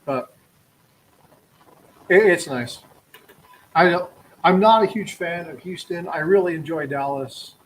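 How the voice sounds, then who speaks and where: A man's voice: fairly high pitch, unhurried, long pauses, a little hiss or hum, rough recording. A man from Texas hosting a casual live stream, chatting in an easygoing, joking way with a guest. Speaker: coin roll hunting streamer.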